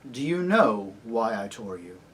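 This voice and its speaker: A man voicing a great lion, a grave, commanding yet tender divine king in a storybook reading, speaking deliberately. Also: fairly low pitch, very animated, fairly bright tone, slight background noise. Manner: slow, grave, deliberate, authoritative.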